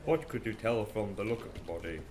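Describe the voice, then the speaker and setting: A man's voice: on the low side, brisk, crowd noise, natural voice. A man playing an arrogant, coolly analytical male detective in an amateur audio drama, speaking in a superior, matter-of-fact way.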